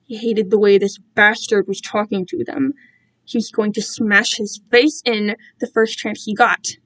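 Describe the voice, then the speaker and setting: A woman giving an expressive, amateur dramatic reading of a story. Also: mid-range voice, a little slow, rough recording. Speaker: amateur fan fiction reader.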